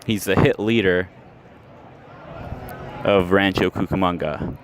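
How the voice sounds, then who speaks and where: A man calling baseball play-by-play for radio, setting the action against stats in a steady, measured delivery, recorded live at an outdoor ballpark. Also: deep voice. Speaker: play-by-play announcer. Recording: noisy outdoor ballpark ambience.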